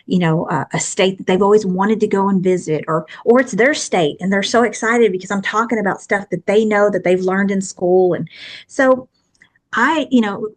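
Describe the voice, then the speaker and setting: Woman, fifties, thick Texan accent, earnest, chatty, mid-pitched. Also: normal speed. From Texas, USA. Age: 50s. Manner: earnest and chatty, long rambling answers. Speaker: guest author. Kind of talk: casual interview chat.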